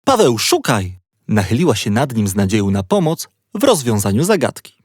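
A man narrating a children's story in an expressive, playful storytelling manner. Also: fairly low pitch, fairly quick, dry recording, studio quality.

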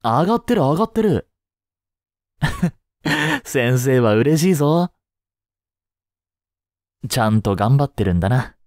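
A man playing a caring, easygoing high school teacher in a voice drama, speaking conversationally with gentle concern. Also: sing-song, slow pace, pauses often, dry recording, studio quality. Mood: gentle, encouraging. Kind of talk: scripted Japanese voice drama acting.

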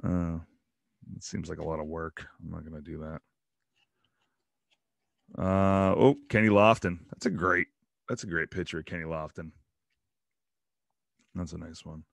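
A man in his thirties hosting a casual solo show, rambling and joking as he opens card packs in a small home room on a boom mic. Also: fairly even intonation, unhurried, vocal fry, pauses often, no room sound. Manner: rambling, joking asides about players. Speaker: podcast host opening baseball card packs. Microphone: studio mic on boom arm, headphones.

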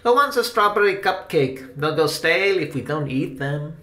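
A man voicing a calm, kindly, playful man of the theater in a children's audiobook, soft-spoken and reassuring. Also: on the high side, moderate pace, voice pushed up. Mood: cheerful.

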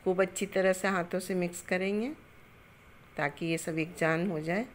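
A middle-aged woman hosting a cooking channel, calmly explaining a recipe step by step in a home kitchen, with homely greetings and blessings. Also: on the low side, normal speed, a little hiss or hum.

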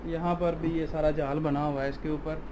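A man's voice: a little high, little pitch movement, normal speed, crowd noise. A young man hosting a shop video, asking questions and hyping the products into a handheld mic. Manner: upbeat, prompting questions. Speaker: market video host. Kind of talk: interviewing a seller on camera. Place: large clothing shop with stacked shelves.

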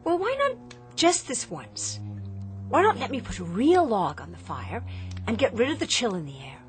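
An actress playing a restless, dissatisfied daughter in an audio drama, earnest and pointed. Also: female voice, on the high side, sing-song, normal speed, faint music behind. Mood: restless, pleading. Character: restless, dissatisfied grown daughter yearning for change.

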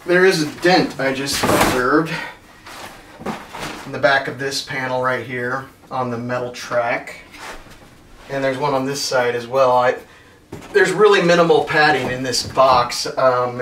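A man in his thirties unboxing and reviewing camping gear at home, talking in a relaxed, plain way with many ums. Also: medium pitch, measured pace. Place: carpeted living room at home. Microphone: far camera. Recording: roomy, from far off, faint noise.

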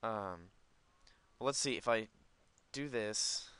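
Guy rambling through a casual let's play, lowish crisp voice, thinks out loud as he goes. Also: takes it slowly, no room sound. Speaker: gaming video host.

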